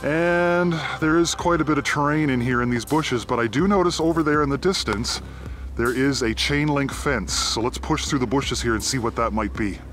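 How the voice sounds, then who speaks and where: A man's voice: mid-range voice, a bit bright, faint music behind. Canadian man narrating a forest walk. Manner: thoughtful, pauses often with "uh". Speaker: abandoned mine explorer. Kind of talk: walking narration while exploring. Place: outdoors in a forest.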